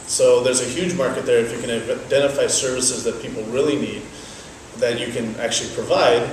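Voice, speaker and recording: A man's voice: mid-range voice, fairly even intonation, slight background noise. Man with native Dutch, very echoey and distant. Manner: measured, explanatory, thoughtful pauses. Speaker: interviewee, business owner. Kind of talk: casual interview answers. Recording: very echoey, from a distance, faint ambience.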